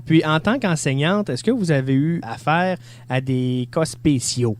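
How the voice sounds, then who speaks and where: A man with a Quebec French accent interviewing a guest on radio, asking casual questions in halting phrases. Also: on the high side, lively intonation. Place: radio studio.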